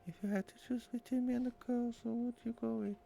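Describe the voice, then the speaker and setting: A man's voice: extremely high pitch, little pitch movement, quick pace, gravelly, warm tone, pitched above the performer's own voice. A man voicing an earnest young woman from a visual novel in a strained, feminine delivery. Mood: curious, insistent.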